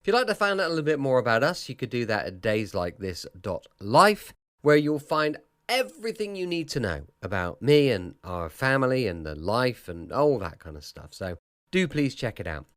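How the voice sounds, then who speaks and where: Male podcast host, lively intonation. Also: mid-range voice, normal speed, no room sound, clean studio sound. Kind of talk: relaxed chatty late-night podcast introduction.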